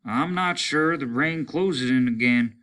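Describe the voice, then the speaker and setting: A man's voice: medium pitch, measured pace, a bit bright, pitched above the performer's own voice, lightly acted. A man voicing an alert lieutenant who leads a stranded crew and speaks with urgency. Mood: watchful.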